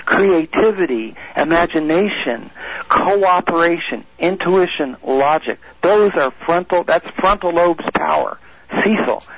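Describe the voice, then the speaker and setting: A man's voice: fairly high pitch, creaky, a little hiss or hum. A man speaking as a guest on a radio call-in show, coaching listeners in an emphatic, repetitive way. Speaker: guest expert on the brain. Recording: dull lo-fi radio audio.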